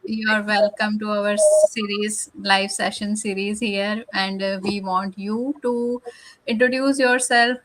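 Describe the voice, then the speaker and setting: A woman hosting a live online interview over a video call, friendly and halting with fillers. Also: mid-range voice, little pitch movement, a bit bright, rough recording. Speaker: host.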